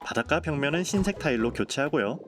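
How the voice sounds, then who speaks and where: A man narrating a game building video in a calm, polite, explanatory voice-over. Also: fairly high pitch, quick pace. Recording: voice-over with clear background music.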